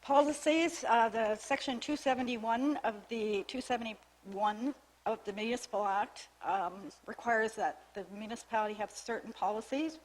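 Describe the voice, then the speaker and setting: A woman's voice: a little high, moderate pace, poor audio. A woman on a town's staff, losing her voice, giving a formal, methodical briefing on new legislation to a council meeting in a council chamber, reading out clauses with small restarts. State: losing her voice, with frequent coughs. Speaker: municipal staff member presenting to town council.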